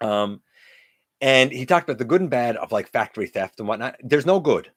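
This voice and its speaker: Man, middle-aged, loud carrying voice, casual livestream host, chatty, dry close sound. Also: medium pitch, expressive, fairly quick, no room sound. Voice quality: loud voice that carries. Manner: chatty, reads out viewer comments and answers them. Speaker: teacher. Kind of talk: casual live-stream chat with viewers.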